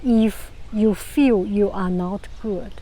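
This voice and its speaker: Soft, slow-talking woman with a Chinese accent. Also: low-quality recording. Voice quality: low soft voice. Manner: calm, unhurried, many restarts. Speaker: vlogger. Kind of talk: casual advice talk.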